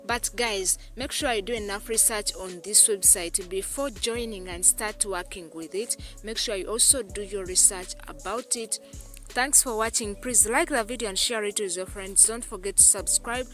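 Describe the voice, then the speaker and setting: Bright-voiced woman walking viewers through steps. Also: medium pitch, moderate pace, soft background music. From Uganda. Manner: repetitive, keeps addressing viewers as "guys". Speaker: YouTube channel host. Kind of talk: screen-recorded tutorial voice-over.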